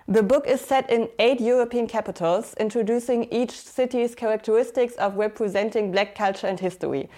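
A woman's voice: fairly high pitch, darkish tone. Woman host reading a podcast intro. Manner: reads smoothly, then asks with fillers. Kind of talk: scripted intro, then interview questions.

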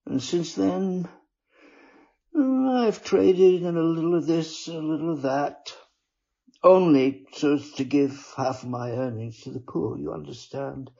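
A man's voice: fairly high pitch, takes it slowly, warm tone, pitched above the performer's own voice, heavily acted. A man doing a sly, falsely pious sinner. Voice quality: husky, breathy. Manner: wheedling, mock-devout. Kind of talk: storybook reading. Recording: dull lo-fi recording.

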